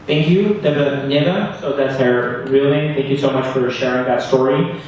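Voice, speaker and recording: A man's voice: mid-range voice, moderate pace, a little mellow, dull audio. Young man hosting in an echoey hall. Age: young adult. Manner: informal, apologetic asides. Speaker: host of a student panel event. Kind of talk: casual live panel hosting. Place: large hall at a live event. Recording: echoey room from far off, faint noise.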